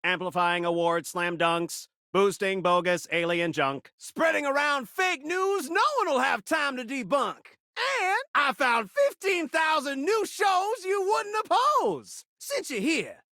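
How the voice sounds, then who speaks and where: Flamboyant high-pitched talking TV showman. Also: sing-song, unhurried, few pauses, bright, forceful tone, no room sound, studio quality, full character voice. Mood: excited hype. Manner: theatrical TV-announcer patter, playful, dramatic. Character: flamboyant talking television set, needy, rhyming showman. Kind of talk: rhyming video game character lines.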